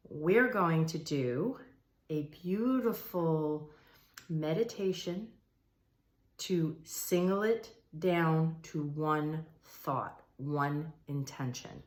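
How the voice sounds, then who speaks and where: A woman hosting an informal spiritual explainer, talking to viewers in a conversational, emphatic way and repeating phrases for emphasis. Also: on the low side. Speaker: host of a manifestation and meditation channel. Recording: roomy, echoey room sound.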